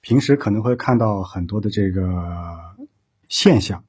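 Very husky, mellow, low-voiced man. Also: measured pace. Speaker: police officer, interview guest. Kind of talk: formal explanatory interview answers.

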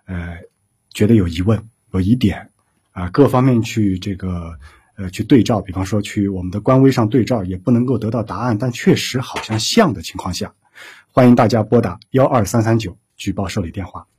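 A man speaking as an official interview guest, explaining things formally in measured phrases. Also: on the low side, a little mellow, husky.

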